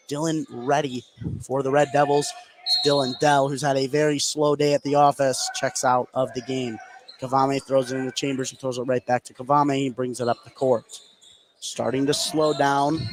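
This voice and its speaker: A man giving live play-by-play basketball commentary on the radio, narrating the action in running, conversational fragments. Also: medium pitch. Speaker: play-by-play announcer. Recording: clear ambient noise behind commentary.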